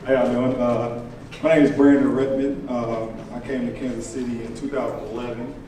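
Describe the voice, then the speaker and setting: A man in his late twenties from Illinois, a contractor giving a casual personal pitch to a live audience, relaxed and conversational with frequent fillers. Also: normal speed, recorded from a distance, a crowd in the background. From Illinois, USA. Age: late 20s. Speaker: contractor and aspiring entrepreneur. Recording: echoey room, picked up from afar.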